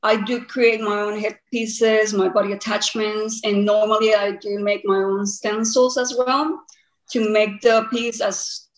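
A woman's voice: medium pitch, little pitch movement, unhurried. A woman in her early forties with a Costa Rican accent, a native Spanish speaker, giving conversational answers as an interview guest. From Costa Rica. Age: early 40s. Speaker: podcast guest, body art painter. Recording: roomy echo.

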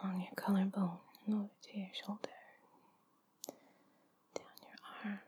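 A woman talking in an intimate, playful ASMR style close to the mic, soft and breathy, often slipping toward a whisper. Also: mid-range voice, little pitch movement, moderate pace. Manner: giggly, playful, chatty. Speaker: audio performer addressing the listener. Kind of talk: intimate ASMR, rambling and affectionate.

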